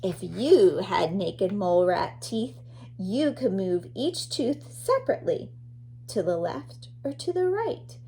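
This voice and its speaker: A woman's voice: mid-range voice, very animated, slow, warm tone, slight background noise. A woman teacher reading a children's nonfiction book aloud expressively, addressing young listeners.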